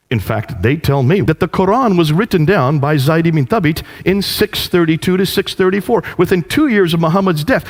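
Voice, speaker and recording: Emphatic preaching man in a reverberant hall. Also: a little high. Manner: rhetorical questions, emphatic. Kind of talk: preaching lecture.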